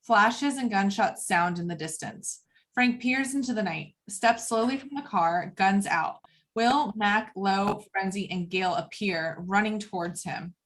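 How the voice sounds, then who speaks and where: A woman's voice: medium pitch, a little slow, a bit bright. A woman reading screenplay action lines as the narrator of a table read.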